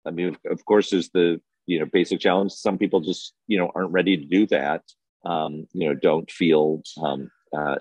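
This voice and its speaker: A man speaking off the cuff as a panel guest, halting and feeling for his words. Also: low-pitched, a little mellow, no room sound, poor audio. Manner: halting and hesitant, groping for words. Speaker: film festival programmer and panel guest. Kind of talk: unscripted panel conversation. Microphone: video call.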